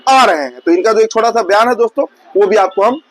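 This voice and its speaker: Very high-pitched male news presenter. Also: fast, a crowd in the background. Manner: conversational, explanatory, repeats and rephrases points. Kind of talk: informal news commentary addressed to viewers.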